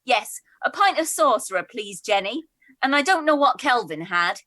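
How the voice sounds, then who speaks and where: A cheery woman at the pub, played by an actress in a radio comedy. Her voice is high, bright and very animated, with a playful, competitive streak and a chatty manner. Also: normal speed, exaggerated voice. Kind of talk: radio comedy play reading.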